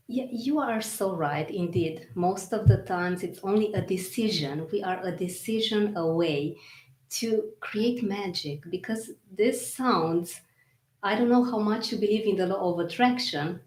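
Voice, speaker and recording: A woman's voice: medium pitch, a little mellow. A woman hosting a podcast interview, speaking earnestly with effusive praise for her guest. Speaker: podcast host. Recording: echoey room.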